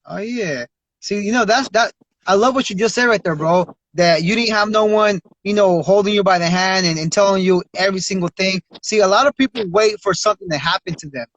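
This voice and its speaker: A man's voice: high-pitched, a little fast, fairly bright tone, no room sound. A man hosting a casual interview podcast, talking in an encouraging, slangy conversational way. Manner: slangy, encouraging, often says "bro" and "you know what I mean". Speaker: podcast host. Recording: dry, dull lo-fi sound.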